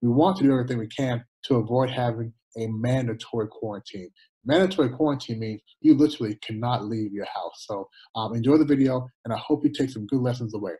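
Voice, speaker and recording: A man's voice: medium pitch, quick pace, mellow, low-quality recording. Upbeat guy on a video call from home, asking his guest questions. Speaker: coach and interviewer. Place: home room with pictures on wall.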